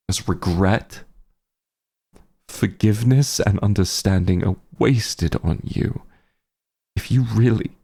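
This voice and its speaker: A low-voiced, embittered fantasy hunter, acted by a man in an audio roleplay. He speaks in slow, halting phrases with intense menace. His husky voice carries cruelty with grief underneath it. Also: warm tone, full character voice. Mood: bitter, threatening. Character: bitter male werewolf hunter, cruel, grieving, shaken. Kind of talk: dramatic audio roleplay monologue.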